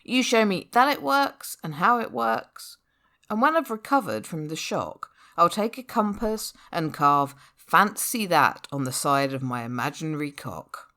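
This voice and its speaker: A woman performs spoken-word poetry at a measured, slow pace. Her delivery is sardonic and theatrical, swinging widely in pitch as she leans hard on key words and leaves deliberate pauses. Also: sing-song, sharp tone. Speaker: poetry reader. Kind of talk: dramatic spoken-word performance of a comic rant poem.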